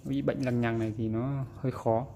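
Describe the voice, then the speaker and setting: Male repair presenter, casual and demonstrative, medium pitch, slightly noisy indoor recording. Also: flat delivery, fairly quick, creaky, dark tone. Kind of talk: casual hands-on repair explanation. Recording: background noise, room ambience.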